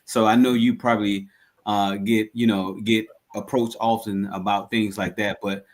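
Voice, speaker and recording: A man hosting a conversational interview, feeling his way through questions in halting phrases. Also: lowish voice, flat delivery. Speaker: interviewer, radio show host.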